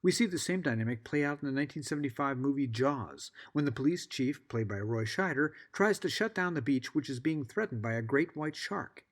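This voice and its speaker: A middle-aged American man giving a scripted podcast introduction, articulate and measured, with wry asides. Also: medium pitch, lively intonation. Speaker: podcast host.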